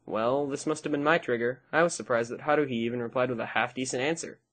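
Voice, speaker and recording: A woman acting as a sardonic high school boy with a low, slightly husky voice. He delivers dry, deadpan anime narration and dialogue, sceptical of everything around him. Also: fast talker. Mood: dry, wry. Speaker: narrator and lead character. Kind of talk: fan dub of anime, dry first-person narration and dialogue.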